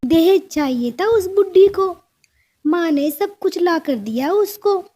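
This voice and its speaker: A haughty young female crow from a cartoon story, acted by a woman. Her voice is very high yet soft-edged, and she scolds slowly with bossy scorn. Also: expressive, heavily acted. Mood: scornful bragging. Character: haughty, sharp-tongued young female crow daughter-in-law.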